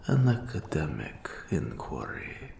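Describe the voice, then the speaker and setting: A man voicing an old undead wraith mentor in a fantasy audio roleplay, eerie and courtly, with a gravelly, breathy half-whisper and slow, archaic, formal phrasing. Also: rumbling low, huge pitch swings, darkish tone, slight background noise, full character voice. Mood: curious, measured. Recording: roomy echo on the voice.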